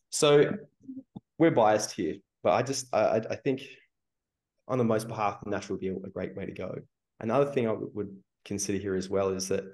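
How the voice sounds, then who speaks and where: A man's voice: fairly low pitch, darkish tone. A man co-hosting a podcast, giving his opinions in a wry way with joking asides. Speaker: podcast co-host. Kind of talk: casual podcast chat.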